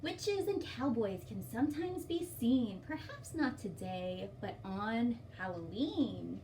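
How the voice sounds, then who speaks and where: Woman, children's story time host, slow delivery, picture book read-aloud, encouraging, distant mic with faint noise. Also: fairly high pitch, lively intonation, warm tone. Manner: simple, encouraging talk with questions to children.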